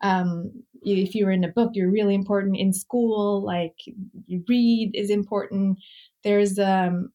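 A woman's voice: mid-range voice, a little slow. A woman in her forties, raised in Norway, a children's book author chatting as a podcast guest and laughing easily. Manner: warm, laughs often, quotes family in lively voices. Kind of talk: casual conversation.

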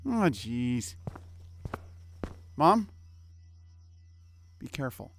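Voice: medium pitch, very animated, drawn-out words, creaky, a little hiss or hum, exaggerated voice. A performer playing a cheeky, earnest young son in an audio drama, speaking in casual, youthful slang.

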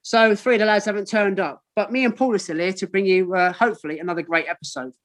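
Fast chatty london guy in his 30s hosting a footy podcast, high-ish voice. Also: fairly even intonation, a bit bright. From London, England. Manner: chatty, matey, lots of ums. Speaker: podcast host. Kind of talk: casual chat.

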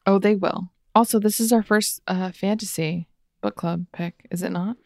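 A woman co-hosting a book podcast, chatting casually with a gravelly morning voice, laughing often and trailing off with fillers. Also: medium pitch, fairly even intonation, normal speed, darkish tone, no echo, clean studio sound. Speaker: podcast co-host.

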